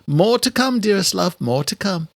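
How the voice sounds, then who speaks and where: Man playing a creaky, menacing lovesick killer. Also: high voice, animated, moderate pace, no echo, studio quality, pitched above the performer's own voice. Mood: menacing devotion. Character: obsessed serial killer, menacingly courting a woman by letter. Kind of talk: horror audiobook character.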